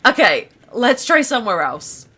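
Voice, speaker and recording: A woman's voice: fairly high pitch, big pitch swings, moderate pace, bright, forceful tone, slightly rough. A woman narrating a video game walkthrough in a casual, chatty, conversational way. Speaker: gameplay narrator.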